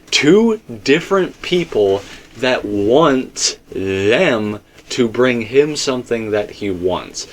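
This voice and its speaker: A man's voice: medium pitch, slight background noise. A man hosting a casual fan discussion, explaining things informally and speaking straight to the viewers. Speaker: host of a fan theory discussion.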